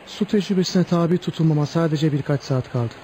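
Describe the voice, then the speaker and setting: Casting: male actor as earnest condemned young hero, brave and heartfelt, slightly high warm voice, radio theatre. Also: fairly quick, slight background noise, natural voice. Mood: tender, resolute. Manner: solemn, heartfelt.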